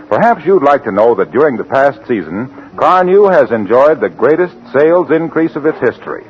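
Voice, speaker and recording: An American man announcing a scripted advertisement in 1940s radio style. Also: a little high. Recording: muffled, noisy 1940s radio recording.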